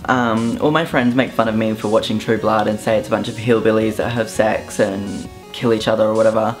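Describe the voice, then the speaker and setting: A young man from Southeast Queensland with an Australian accent, talking casually to camera with an offhand, relaxed manner and frequent ums. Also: on the low side, fairly quick, soft background music. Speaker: vlogger. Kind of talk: casual vlog monologue.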